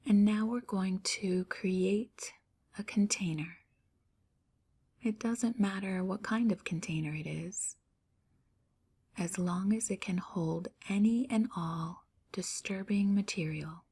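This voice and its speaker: A woman guiding a relaxation meditation in a soft, near-whispered, calm voice with gentle pauses. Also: medium pitch, husky. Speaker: meditation guide. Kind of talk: guided meditation.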